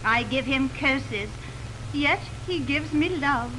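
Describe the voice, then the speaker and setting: Lovestruck young heroine, high voice, declamatory Shakespearean verse, earnest, very noisy 1929 radio recording. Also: female voice, takes it slowly. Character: Hermia, lovestruck young Athenian woman, earnest and devoted. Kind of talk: 1920s radio Shakespeare acting.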